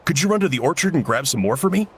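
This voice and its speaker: A jovial uncle cook from a video game, chatty and a little dramatic. His voice rings bright and swings lively up and down, over faint game noise. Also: fairly high pitch, very fast. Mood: hearty. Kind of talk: game voice acting.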